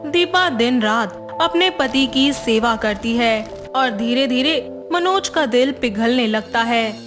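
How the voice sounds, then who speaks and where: A woman narrates a scripted story at a slow, measured pace. Her voice is slightly high, with soft background music under the telling. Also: animated.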